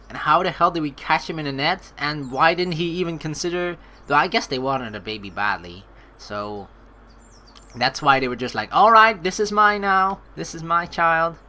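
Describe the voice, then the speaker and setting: Animated let's player with a bright voice. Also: medium pitch. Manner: animated, joking, sarcastic asides. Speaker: let's play streamer. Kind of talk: reading game dialogue aloud with reactive commentary. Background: game music.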